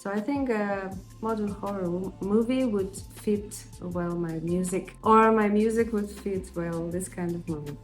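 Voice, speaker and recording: A woman with a Russian accent talks through interview answers about her music, thoughtful and unhurried, often pausing with "uh" and restarting a word midway. Her pitch sits in an ordinary middle range. Faint music plays quietly behind her. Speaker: independent musician, interview guest.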